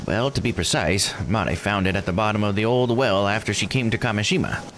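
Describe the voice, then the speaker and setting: A man voicing a kindly old island grandmother in a visual novel, folksy, unhurried and dryly joking. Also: fairly low pitch, fairly bright tone, a little hiss or hum, voice pushed up, close to an everyday voice. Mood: calm explaining. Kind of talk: visual novel character read aloud.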